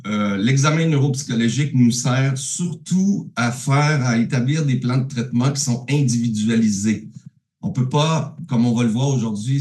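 A man with a Québécois French accent presents his research from home over a video call. He speaks in a mellow voice, stopping often with fillers and restarts. The sound is roomy and echoey. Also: medium pitch. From Quebec, Canada. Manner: halting, many fillers and restarts. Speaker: neuropsychologist giving a remote talk. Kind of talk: conference presentation. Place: at home, alone.

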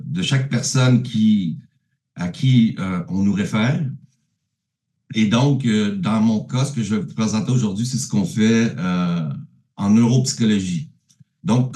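A man with a Québécois French accent presents his research from home over a video call. He speaks in a mellow voice, stopping often with fillers and restarts. The sound is roomy and echoey. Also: on the low side. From Quebec, Canada. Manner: halting, many fillers and restarts. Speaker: neuropsychologist giving a remote talk. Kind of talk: conference presentation. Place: at home, alone.

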